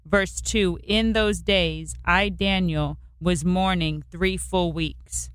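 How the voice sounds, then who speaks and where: An American woman co-hosting a church radio show, affirming her co-host and reading scripture in a measured way. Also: fairly low pitch, clean tone, no echo. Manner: affirming, steady backing murmurs, measured reading.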